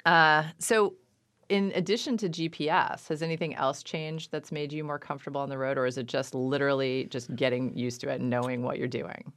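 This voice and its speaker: A woman's voice: a bass voice, fairly even intonation, fairly bright tone, dry recording. A woman hosting a radio interview show in a studio, chatting easily and reading a scripted sign-off. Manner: quick reactive interjections, easy conversational. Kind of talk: interview chat and scripted outro.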